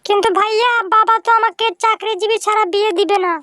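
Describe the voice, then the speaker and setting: Cartoon village girl of marrying age, played by a woman, extremely high and bright, polite, dry close sound. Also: fairly even intonation, quick pace, dry recording. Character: polite young village woman, soft-spoken and dutiful. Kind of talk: cartoon dialogue.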